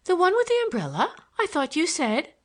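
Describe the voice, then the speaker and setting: Storybook society matron, played by a female narrator: lifted pitch, well-bred, cordial, insistent. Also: huge pitch swings, normal speed. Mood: urgent, hushed gossip. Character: brisk, worldly society matron conferring with her sister.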